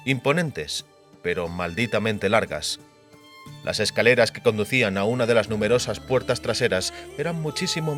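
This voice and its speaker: A man with a fairly low voice narrates a fantasy novel. It is scripted audiobook storytelling, delivered over faint background music. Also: a bit bright.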